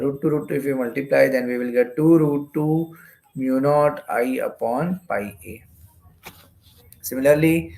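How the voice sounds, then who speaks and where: A man's voice: medium pitch, a little mellow. A man with an Indian accent giving an explanatory exam-prep lecture as a physics teacher, going step by step and repeating phrases.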